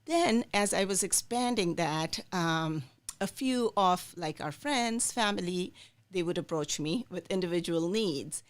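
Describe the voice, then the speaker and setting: A middle-aged woman from India talks through her career as a podcast guest. Her voice sits a little low and she fills pauses with plenty of ums. She tells her story in a warm, unhurried way. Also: lively intonation. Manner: warm, storytelling with many fillers. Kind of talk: interview, telling her life story.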